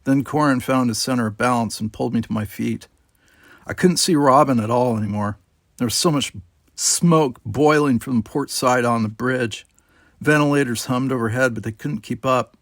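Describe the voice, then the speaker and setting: A man's voice: mid-range voice, moderate pace, no echo, studio quality. A man reading dramatic first-person scripted narration as an audiobook narrator.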